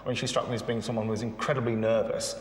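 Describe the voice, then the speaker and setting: A man's voice: mid-range voice, level tone, rapid-fire, a little hiss or hum. A man working as a vocal coach, explaining and encouraging a singer with vivid images. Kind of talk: interview and singing lesson. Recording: echoey room with loud music behind.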